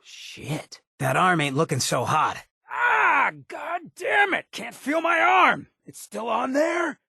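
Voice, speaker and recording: An actor playing a roguish, wry ghoul in a video game, with a raspy, gravelly voice and streetwise drawl. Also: male voice, extremely high pitch, wildly swooping pitch, takes it slowly, pushed and bright, no echo, studio quality, full character voice. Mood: pained, alarmed. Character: roguish, wry male ghoul mayor, chem-loving companion. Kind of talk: video game companion dialogue.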